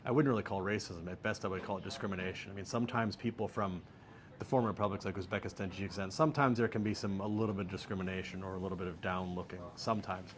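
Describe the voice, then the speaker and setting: American man, middle-aged, low-pitched, joking, relaxed interview guest, faint background hiss. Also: very fast. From United States. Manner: relaxed, joking, laughs easily. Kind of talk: casual interview answers.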